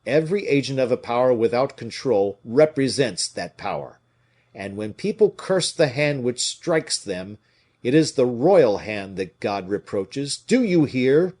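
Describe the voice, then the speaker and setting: A man voicing an indignant, scornful veteran musketeer with a rough, declamatory delivery in an audiobook. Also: mid-range voice, sing-song, measured pace, a little mellow. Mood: fierce, righteous. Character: fiery, indignant veteran musketeer, gruff old soldier. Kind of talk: dramatic audiobook character reading.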